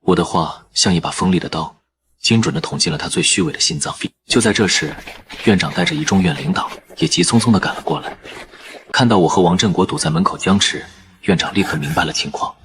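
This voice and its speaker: A man narrating in the first person as a calm surgeon, in measured scripted narration. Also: deep voice, noisy background, lightly acted. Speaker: narrator and lead character, a surgeon.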